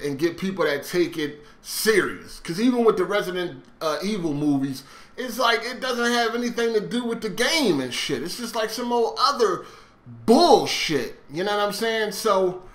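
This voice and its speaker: Guy doing casual gaming commentary, swears a lot, bright punchy voice, echoey room sound. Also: high voice. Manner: blunt, swearing, repeated conversational check-ins. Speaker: gaming video host. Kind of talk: casual opinionated vlog commentary.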